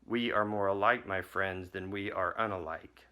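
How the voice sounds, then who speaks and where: Guy reading a poem at church, lowish voice, bright and forceful. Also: steady tone. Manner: measured, softening poem reading. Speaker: chalice lighter. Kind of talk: reading a poem aloud at a service.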